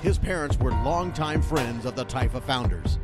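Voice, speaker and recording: A man's voice: medium pitch, animated, normal speed. A man reading a scripted radio announcement as an announcer. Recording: produced spot over loud music bed. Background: loud music.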